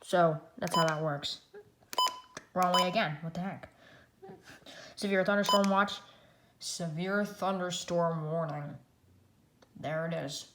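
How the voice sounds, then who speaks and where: An echoey room recording with loud noise carries a woman's slightly low voice. She demonstrates a gadget casually as a reviewer. Her delivery rambles, moving through items one at a time. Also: fairly even intonation, takes it slowly, long pauses. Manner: rambling, reading menu items aloud one by one. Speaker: product reviewer.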